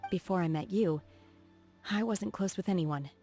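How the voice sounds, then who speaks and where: A woman voicing a reserved, earnest, socially awkward young female martial disciple in a video game, speaking in a formal, measured way. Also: lowish voice, warm tone, close to an everyday voice. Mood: reflective. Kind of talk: video game character voice acting. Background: background music.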